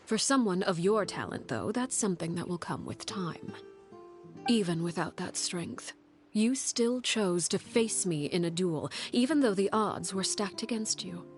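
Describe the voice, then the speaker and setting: A woman playing a stern, composed leader of a secret family in a video game, speaking in a measured, formal, authoritative way. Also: medium pitch, expressive, faint music behind, lightly acted. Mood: firm, grave. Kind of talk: scripted video game dialogue.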